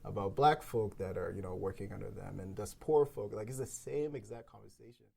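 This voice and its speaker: A man's voice: on the low side, brisk, gravelly, a little mellow. A man hosting a podcast, talking casually in a round-table discussion. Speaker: podcast host.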